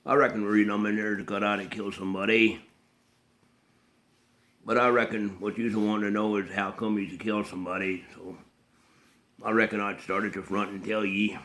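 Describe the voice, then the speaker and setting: A man acts out a simple, haunted backwoods man from a Southern film drama, in a low voice with a rural Southern US accent. He mumbles plainly and haltingly, repeating himself and breaking his phrases with throaty grunts and hums. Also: flat delivery, fairly bright tone, close to an everyday voice. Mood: flat, halting.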